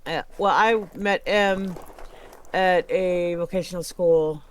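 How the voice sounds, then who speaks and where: A man's voice: sits high, fairly even intonation, slow, clean tone, rough recording. A middle-aged man chatting casually as a guest on a gaming stream. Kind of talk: casual chat.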